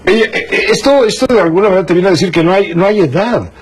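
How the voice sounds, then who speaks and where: A man's voice: sits high, lively intonation, quick pace, slight background noise. A man hosting a broadcast interview in a conversational style, often repeating words as he feels for the next one. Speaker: host interviewing a guest.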